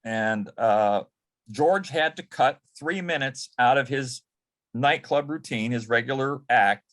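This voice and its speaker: A man telling anecdotes as a guest on a panel, in a halting way, often repeating words and restarting his sentences. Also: mid-range voice, a bit bright. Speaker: panel guest, former personal assistant to an entertainer. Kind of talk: anecdotal storytelling in a panel interview.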